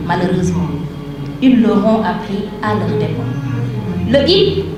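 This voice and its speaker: A woman's voice: mid-range voice, expressive, slow pace. A woman reading a text aloud in a solemn, declamatory way. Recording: distant, very echoey, loud music.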